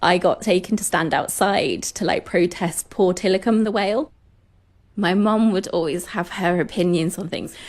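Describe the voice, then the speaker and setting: A woman's voice: fairly low pitch, moderate pace. A woman in a recorded interview clip, chatty and laughing through her story. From United Kingdom.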